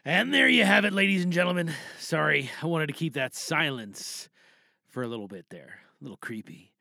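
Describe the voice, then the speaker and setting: A man's voice: fairly high pitch, lively intonation, moderate pace, creaky, bright, forceful tone, no echo, clean studio sound. A man hosting an audio theatre podcast and signing off in an informal, rambling way. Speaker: podcast host and voice performer. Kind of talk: casual podcast outro.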